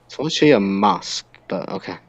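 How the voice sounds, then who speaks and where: A man's voice: low voice, expressive, normal speed, breathy edge, no room sound, dull audio. A man co-hosting a wrestling podcast in casual group chat, speaking bluntly and with strong opinions.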